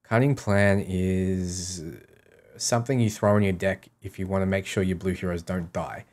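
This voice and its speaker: A man's voice: low-pitched, steady tone, moderate pace, a little mellow. A man streaming a game, casually reading out questions and answering them offhand in short, repeated sentences. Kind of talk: casual livestream Q&A.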